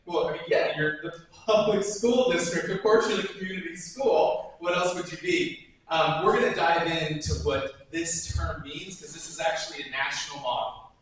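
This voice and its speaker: An energetic male principal talks to a civic group, his voice a touch high and upbeat, laughing between points. He sounds far from the mic in a very echoey meeting room, with faint noise underneath. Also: normal speed. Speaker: school principal.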